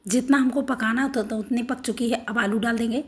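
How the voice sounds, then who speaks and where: A middle-aged woman with a hoarse, sore throat, walking through a home recipe step by step in a homely, instructive way. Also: a little high, fairly even intonation, a little fast. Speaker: cooking channel host. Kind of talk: home cooking demonstration.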